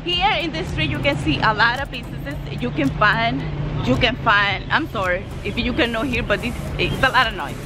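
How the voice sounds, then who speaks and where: A woman's voice: fairly high pitch, normal speed. A woman, chatting and correcting herself as she films a walking tour on a busy street, phone held at arm's length. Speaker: travel vlogger. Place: busy street outdoors beside traffic. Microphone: phone camera at arm's length. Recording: loud traffic noise and music behind. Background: passing cars and music.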